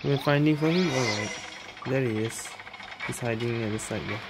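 A woman's voice: very deep voice, normal speed, very noisy. A woman gamer giving casual reaction commentary over gameplay in short, muttered remarks. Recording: voice-over with game music behind.

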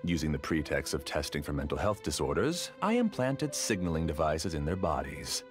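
An actor playing a formal, self-assured, obsessive scholar who rules a dream world in an anime game. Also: male voice, a bass voice, big pitch swings, normal speed, over music. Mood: earnest, confessional. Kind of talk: anime video game voice acting.

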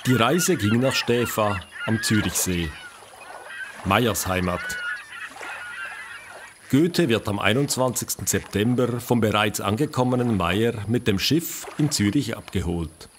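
A man narrating a scripted documentary radio play as its storyteller, with a husky voice. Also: fairly low pitch, lively intonation, unhurried, noisy background.

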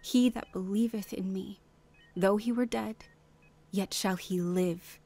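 An actress playing a gentle, reassuring woman of faith in a radio drama, speaking tenderly and earnestly. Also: mid-range voice, steady tone, slow.

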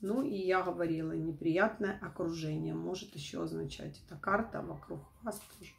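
A middle-aged woman with a not fully recovered voice, giving an informal tarot forecast to camera in a halting, rephrasing way. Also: on the low side, vocal fry. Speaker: tarot reader, host. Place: small room at home, indoors.

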